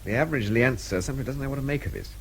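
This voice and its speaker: An actor playing a calm, observant man in a radio drama, clipped and inquisitive in manner. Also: medium pitch, rapid-fire, slight background noise, natural voice. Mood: measured, observant. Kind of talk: British radio drama acting.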